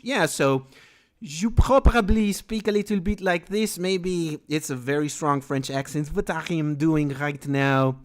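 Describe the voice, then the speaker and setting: A man from Venezuela, a native Spanish speaker, chatting casually on a live stream about music and reading comments from chat aloud. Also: on the high side. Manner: lively, rambling, reads chat aloud.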